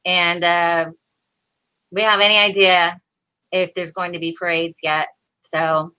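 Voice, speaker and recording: A woman with a bright, sharp voice chats as a guest over a video call. Her talk is informal and halting, with a self-deprecating streak. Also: on the low side, little pitch movement, clean tone, rough recording. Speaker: guest performer on the show.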